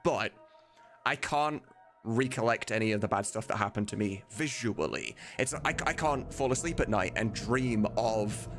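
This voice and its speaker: A low-pitched man in his thirties talks off the cuff to his live stream audience. His delivery swings widely up and down as he rambles candidly, with music clearly playing behind him. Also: expressive, normal speed, fairly bright tone. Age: 30s. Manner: rambling, candid, swears casually. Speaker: live streamer, game dub maker. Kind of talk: casual live stream chat.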